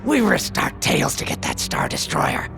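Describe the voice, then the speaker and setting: An actor playing a cocky, irreverent rebel pilot in a video game, with a gravelly voice and a folksy, complaining manner. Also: male voice, normal speed, natural voice. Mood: indignant. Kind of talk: scripted cinematic game acting. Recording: loud orchestral score over voice. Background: loud cinematic music.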